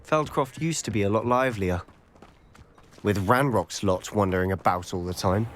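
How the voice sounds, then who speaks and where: A young actor with a British accent playing a determined, protective teenage schoolboy wizard in a video game, earnest and confiding. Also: male voice, on the low side, moderate pace, slight background noise, close to an everyday voice. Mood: matter-of-fact.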